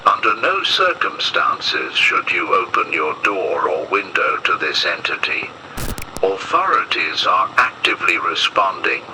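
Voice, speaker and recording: A man performs a solemn emergency announcer in an analog horror broadcast. His voice is very deep and slow, with an ominous weight to the measured delivery. It comes through with clear noise, like a lo-fi radio warning. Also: very animated. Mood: grave, ominous.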